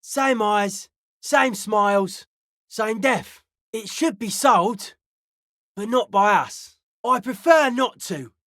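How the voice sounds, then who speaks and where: A woman voicing a suspicious, aloof young hardcore raver in a video game, speaking in deadpan, clipped, cryptic pronouncements. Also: medium pitch, takes it slowly, no room sound, clean studio sound. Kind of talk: video game character dialogue.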